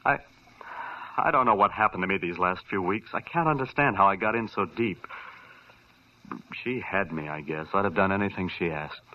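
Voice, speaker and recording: A man's voice: low voice, normal speed, lightly acted. A man playing a brash young man, hotheaded at first and later shaken and earnest, in an old radio drama. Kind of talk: 1940s radio drama acting. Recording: dull lo-fi old radio recording.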